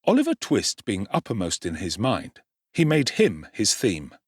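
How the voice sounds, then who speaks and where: A man's voice: lowish voice, huge pitch swings, measured pace, slightly rough, dry recording. Male narrator for a dramatic audiobook, wry and theatrical, close and dry.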